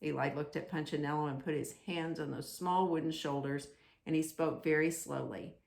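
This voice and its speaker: A woman storyteller for a children's ministry, gently narrating a storybook and talking to young listeners. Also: low voice, steady tone, moderate pace, gravelly.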